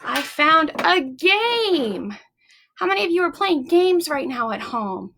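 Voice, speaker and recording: A woman hosting a story time for young children, speaking in an encouraging, animated way with simple phrases and questions put straight to the listeners. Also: sits high, slow, slight background noise.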